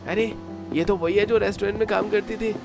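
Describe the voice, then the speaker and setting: A man's voice: high-pitched, fairly even intonation, a little fast, vocal fry, music in the background, voice pushed up. A man voicing a leering young street harasser in a cartoon, taunting and drawling.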